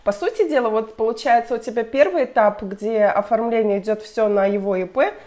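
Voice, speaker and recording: Woman podcast host with a fairly high voice, curious and conversational in her questions. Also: fairly quick, dark tone. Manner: curious, conversational, some hesitations. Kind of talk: interview questions.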